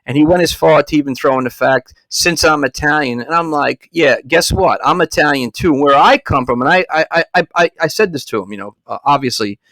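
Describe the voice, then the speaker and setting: A man's voice: a little high, brisk, hardly any pauses, fairly bright tone, no echo. A man hosting his own podcast, talking in a fired-up, stop-and-start way, often repeating words as he restarts a thought. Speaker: podcast host. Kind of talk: casual solo podcast rant.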